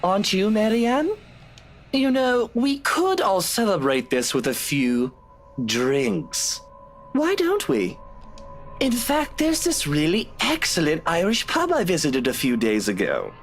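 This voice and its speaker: Flippant rich husband, game role: hugely animated pitch, teasing, theatrical, fond of drink, faint music. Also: male voice, slow, full character voice. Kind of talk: visual novel game voice acting.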